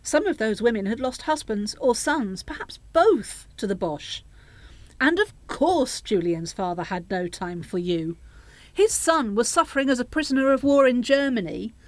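A woman's voice: fairly high pitch, lively intonation, moderate pace, dry recording, lightly acted. An actress in a radio play playing a middle-aged grandmother who pleads and reproaches, coaxing and justifying herself. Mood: defensive. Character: pleading, reproachful grandmother, anxious to smooth things over.

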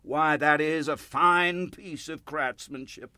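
An actor playing a folksy, chatty older American churchgoer in a radio play, effusive and rambling. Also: male voice, a little high, fairly even intonation, takes it slowly, pushed and bright, no room sound, exaggerated voice. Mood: gushing, folksy. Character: folksy, gushing, chatty old American carpet salesman churchgoer.

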